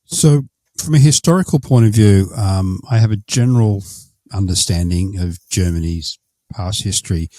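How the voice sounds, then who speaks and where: Deep-voiced man hosting, halting. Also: dark tone, dry recording. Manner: rambling, halting, many fillers. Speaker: host. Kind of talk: interview questions.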